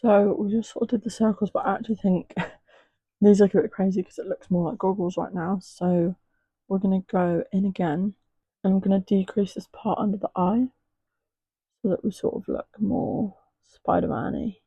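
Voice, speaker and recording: A young woman chatting casually through a makeup tutorial, her voice a little hoarse as if it is going. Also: steady tone, moderate pace, no room sound, muffled recording. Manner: chatty, rambling, soft and low at times. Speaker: makeup artist.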